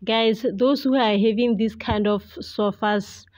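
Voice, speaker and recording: Slow-talking woman in a casual vlog. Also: fairly high pitch, fairly even intonation, a little mellow. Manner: hesitant, chatty, addressing viewers as guys. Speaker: vlogger, homemaker.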